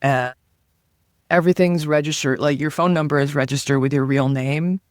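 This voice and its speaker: A woman from Taiwan, a comedian and guest on an interview, telling stories in an animated, chatty way and acting out the voices she quotes. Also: low voice, normal speed, no room sound, studio quality. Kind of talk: casual interview storytelling.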